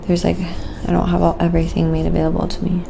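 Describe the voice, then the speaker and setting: Female ASMR streamer, lowish and very even in pitch, unhurried casual narration, wind and noise behind her. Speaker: livestreaming craft painter and host. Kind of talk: quiet ASMR painting livestream, casual chat. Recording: clear background noise, windy ambience. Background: wind howling.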